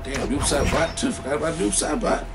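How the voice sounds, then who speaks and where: A man's voice: on the high side, a little fast, recorded from a distance, over loud music. A young man giving casual, slangy, offhand reaction commentary. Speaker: reaction video host. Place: small indoor room full of collectibles. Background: loud game soundtrack.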